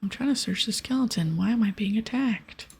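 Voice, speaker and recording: A woman doing ASMR game commentary, reading aloud and chatting casually in a breathy whisper. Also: medium pitch, fairly quick, faint music behind.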